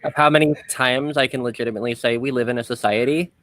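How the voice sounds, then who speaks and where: A man's voice: mid-range voice, fairly even intonation, quick pace, crowd noise. A man hosting a podcast in casual discussion, talking in a reflective, self-deprecating way. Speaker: podcast host.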